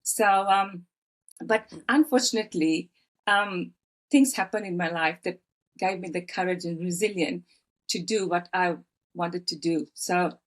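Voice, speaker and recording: A woman in her fifties from Fiji, telling her life story as a podcast guest with many pauses, fillers and restarts. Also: fairly low pitch. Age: 50s. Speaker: guest, author. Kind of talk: personal storytelling in interview.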